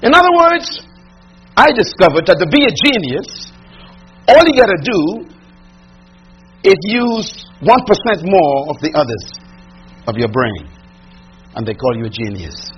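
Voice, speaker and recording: A man preaching a sermon to a room, emphatic, asking rhetorical questions and swinging from loud to hushed. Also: high voice, big pitch swings, a little slow, long pauses, husky. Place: large room with audience. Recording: dull lo-fi recording with mains hum.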